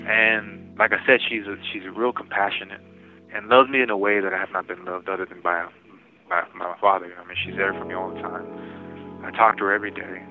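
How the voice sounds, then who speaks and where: A man in his forties talking casually from prison over the phone, halting and often restarting his words. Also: deep voice. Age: 40s. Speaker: interviewee in prison. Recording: tinny prison phone line.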